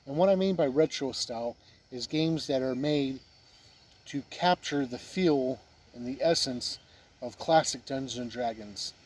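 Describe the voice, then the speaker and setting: A man giving an unhurried, deliberate review talk to camera, pausing often mid-sentence. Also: medium pitch, a little mellow, husky. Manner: deliberate, halting delivery with pauses mid-sentence. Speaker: tabletop game reviewer. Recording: windy noise, outdoor-sounding ambience. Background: wind noise.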